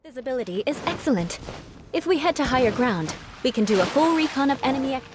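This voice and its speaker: A woman's voice: high-pitched, expressive, fast, background noise. A woman playing a proud young noblewoman knight in a video game, formal, aloof and teasingly menacing. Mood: composed.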